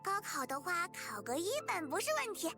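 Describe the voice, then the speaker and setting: Casting: eager chatty little girl, visual novel game, very high voice over music. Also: animated, fairly quick. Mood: bright, confident. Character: cheerful young girl shopkeeper's helper, eager and chatty.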